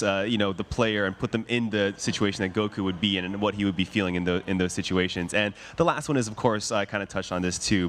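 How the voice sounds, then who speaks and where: Fast-talking low-voiced man explaining a game. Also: a bit bright, a little hiss or hum. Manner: quick, filler-laden explanations. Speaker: game developer spokesperson and interpreter. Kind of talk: explaining game features in an interview.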